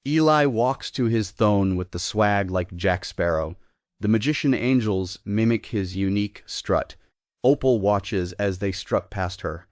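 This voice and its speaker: A man's voice: lowish voice, a little slow, no room sound, studio quality. A man reading out scripted stage directions as the narrator of a play table read.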